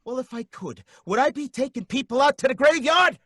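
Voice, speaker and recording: Twitchy cabbie, high and bright, stammering and pleading, old-time radio play. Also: animated, fairly quick, no room sound, clean studio sound, heavily acted. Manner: nervous, pleading, stammering, folksy slang. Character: jittery, put-upon male cab driver. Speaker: cab driver.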